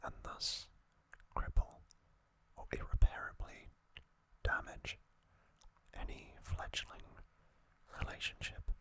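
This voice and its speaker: An ASMR host speaking commentary in a breathy, unvoiced whisper, slowly and deliberately in short phrases with pauses. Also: sharp tone.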